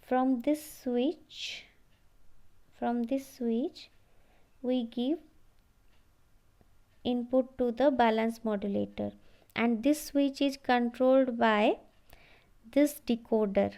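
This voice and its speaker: A woman giving an explanatory slide lecture, halting and repeating phrases as she goes. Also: fairly high pitch, fairly even intonation, dark tone. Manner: halting, repeats phrases, often says okay.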